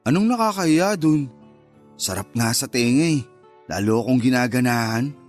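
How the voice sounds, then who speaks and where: A man's voice: medium pitch, lively intonation, moderate pace, close to an everyday voice. Over faint music, a man plays a cocky male lover in a radio drama. He teases and coaxes in a casual, flirtatious way, sure of himself and unworried. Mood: teasing, flirtatious. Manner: casual, teasing, coaxing. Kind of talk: Tagalog radio drama dialogue.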